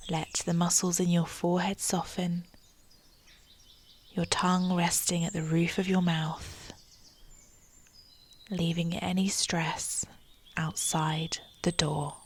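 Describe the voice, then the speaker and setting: A woman leading a guided meditation in a soft, breathy, near-whispered voice, calm and soothing, with measured phrasing and long pauses. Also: on the low side, a little hiss or hum.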